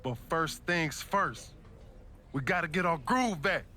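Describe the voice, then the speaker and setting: Bright, forceful male pro wrestler. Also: a little high, expressive, slow, slight background noise, heavily acted. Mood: casual confidence. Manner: slangy, punchy, emphatic delivery in short bursts. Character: fiery pro wrestler rallying his allies. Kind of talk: wrestling promo and video game cutscene acting.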